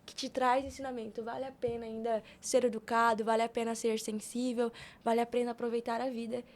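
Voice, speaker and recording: A woman's voice: fairly high pitch, level tone, brisk. A young woman with a Brazilian accent chatting informally and telling personal stories on a podcast. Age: young adult. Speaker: podcast guest. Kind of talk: casual podcast conversation.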